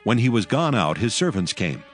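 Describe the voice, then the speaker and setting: A man with a lowish voice reads scripture as narrator. His delivery is solemn and measured, with soft music behind him. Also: very animated. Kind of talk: scripted audio Bible narration.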